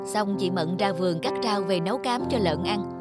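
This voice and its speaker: A woman's voice: medium pitch, normal speed, lightly acted. A woman playing a caring but firm mother who instructs and admonishes her children in a cartoon folk tale. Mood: brisk, instructing. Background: background music.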